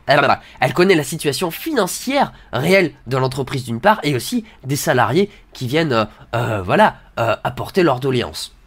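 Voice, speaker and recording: Homme enrhumé à la voix grave éraillée. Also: male voice, fairly high pitch, husky. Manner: hesitant, many fillers, mock-naive asides. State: has a cold. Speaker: satirical commentator reading an article aloud. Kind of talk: sarcastic read-along commentary.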